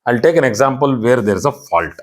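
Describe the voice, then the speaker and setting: A man's voice: medium pitch, animated, quick pace. A man with an Indian English accent, a native Kannada speaker, teaching an online astrology class in an explanatory, emphatic way and repeating key phrases. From southern India.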